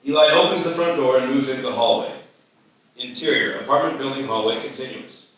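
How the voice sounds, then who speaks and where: A man's voice: mid-range voice, a little fast, fairly bright tone. A man reading stage directions as scripted narration at a live screenplay table read. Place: live festival reading in an echoey room. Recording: echoey hall, distant mic, faint noise.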